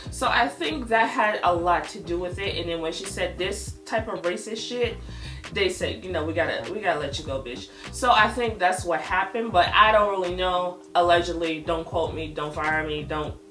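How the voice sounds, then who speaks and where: A woman hosting a gossip vlog, giving casual, opinionated commentary in a blunt, animated storytelling manner with frequent swearing. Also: medium pitch. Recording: echoey room with music underneath.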